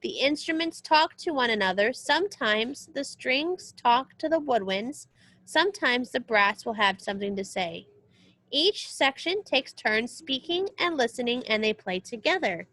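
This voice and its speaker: A woman's voice: a little high, expressive, measured pace, fairly bright tone. A woman music teacher reading a children's book aloud as a lesson, explaining things directly to young listeners. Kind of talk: read-aloud lesson with short spoken asides.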